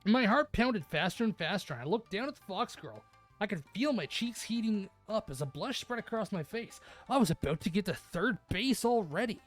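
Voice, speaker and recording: A woman narrates a visual novel for a stream, slipping into casual, playful chat. Her pitch moves in a lively way, and faint music sits underneath. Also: moderate pace. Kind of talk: expressive read-aloud narration with casual chat.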